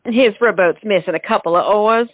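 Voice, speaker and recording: A woman's voice: mid-range voice, fairly quick, no room sound, lightly acted. A woman playing a bossy, skeptical lady friend with a wry, teasing way of talking in a stage comedy. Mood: skeptical, amused. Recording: tinny, narrow-band sound.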